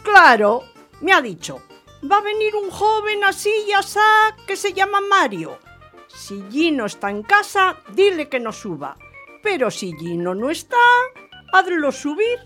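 Shrill, squeaky gossiping concierge. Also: female voice, big pitch swings, measured pace, bright, forceful tone, soft background music, heavily acted. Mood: gossipy. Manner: theatrical, squeaky, swooping. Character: gossipy, excitable female apartment-house porter. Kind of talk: radio drama acting.

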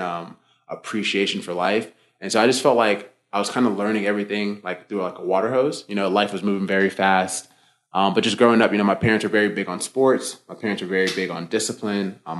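A young man with a low voice talks quickly and easily as an interview guest. He rambles comfortably, with plenty of "like" and "you know" and the odd restart. It is relaxed, everyday conversation. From United States (born Boston, raised Florida). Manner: relaxed, talkative, many fillers and self-restarts. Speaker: guest, fitness coach.

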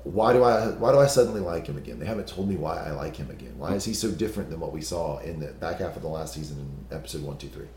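A man with a very low, hoarse and gravelly voice talks in a loose, chatty way, laughing at himself and restarting his sentences. The recording has a roomy echo around it. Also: expressive, fast, hardly any pauses, a little mellow, slight background noise. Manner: chatty, self-deprecating, restarts often. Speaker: podcast co-host. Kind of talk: casual TV recap chat.

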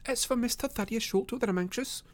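A man's voice: very high-pitched, fast talker, voice pushed up. A man voicing an earnest, proud and kind-hearted young lady with a composed manner. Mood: earnest concern.

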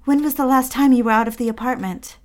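A woman's voice: high-pitched, fairly even intonation, quick pace, natural voice. A woman playing an earnest, lonely young woman in an audio drama, prim and coaxing, eager to be a friend. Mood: coaxing, bright.

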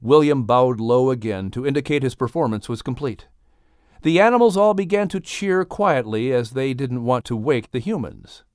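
A woman narrating a children's audiobook with expressive, scripted storytelling. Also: rumbling low, moderate pace, dry recording, studio quality.

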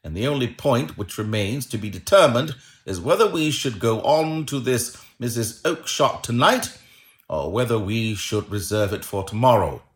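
A confident Victorian detective from a storybook, voiced by a male narrator. He speaks with courteous precision and easy authority, his mid-pitched voice moving in lively swings. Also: normal speed, lightly acted. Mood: keen, thoughtful. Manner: assured, polite, precise.

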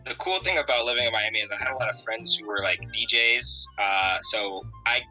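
Bright-voiced relaxed man telling stories. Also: fairly low pitch, fairly quick, soft background music, low-quality recording. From Connecticut, USA. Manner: relaxed, rambling, many fillers. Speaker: interview guest, musician and photographer. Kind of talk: casual conversational storytelling.

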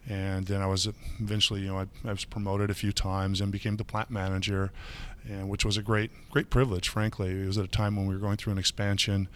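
Chatty middle-aged man, low voice. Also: flat delivery, quick pace, a bit bright, a little hiss or hum. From Michigan. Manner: chatty, with many fillers and restarts. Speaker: guest. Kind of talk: casual interview.

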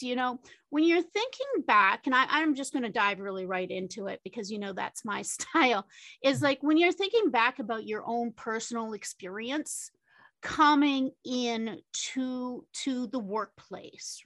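A woman's voice: a little high, lively intonation, a little slow, fairly bright tone, dry recording. A woman moderating a panel discussion, chatty, with many fillers and tag questions.

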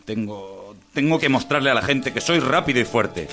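An actor playing a vain, short-tempered working-class husband, defensive and domineering, in an audio drama. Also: male voice, mid-range voice, lively intonation, normal speed, vocal fry, fairly bright tone, faint music behind. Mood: defensive, boastful.

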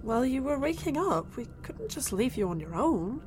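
An actress playing Claire, a curious young woman abducted onto an alien ship, calm and reassuring to others. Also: sits high, normal speed, slight background noise. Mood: calm, steadying. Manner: reassuring, then eagerly curious. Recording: faint music score underneath.

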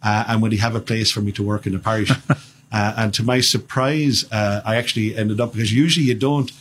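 A man's voice: lowish voice, fairly even intonation, a little hiss or hum. A man in his fifties with an Irish accent, a priest speaking as a radio guest, rambling with many restarts and fillers. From Ireland. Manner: chatty, halting with many restarts.

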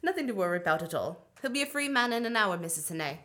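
A woman in a radio play acting a brisk, reassuring police detective. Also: mid-range voice, expressive, a bit bright, lightly acted.

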